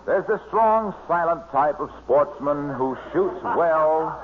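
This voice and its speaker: An American man working as announcer, delivering scripted introductions and sponsor pitches in 1940s radio style. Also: animated, slow, a little hiss or hum. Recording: tinny vintage radio broadcast recording.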